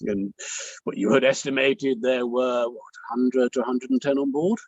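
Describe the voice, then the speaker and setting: A dull, close and dry recording of a courteous barrister played by a man in a play reading. His voice is mid-pitched, and he probes deliberately, with hesitant pauses between phrases. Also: flat delivery, no echo, natural voice. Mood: politely probing. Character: male inquiry barrister, deliberate, politely probing cross-examiner. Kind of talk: table read of an inquiry play.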